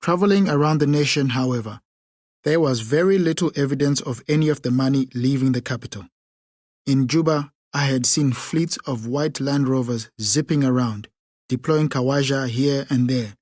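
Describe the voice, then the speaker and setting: A man narrates a first-person memoir for an audiobook, reading in a measured, unhurried way. His voice sits at an ordinary middle pitch. The close studio recording is dry, with no room sound. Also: darkish tone, no room sound.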